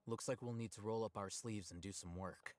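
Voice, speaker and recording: An actor playing a calm, analytical male scholar in a game, measured and deductive. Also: on the low side, over music, close to an everyday voice. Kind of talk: game voice acting.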